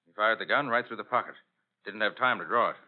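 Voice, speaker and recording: A man's voice: on the low side, fast, natural voice. An actor playing a confident, shrewd male private detective in an old-time radio drama. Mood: intent, deductive. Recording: dull old radio recording.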